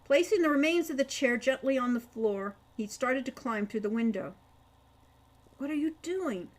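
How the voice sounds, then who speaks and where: A woman reads a story aloud in a fairly high-pitched voice. Her delivery is that of a dramatic storyteller working from a script. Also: normal speed. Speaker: author reading own audiobook chapter.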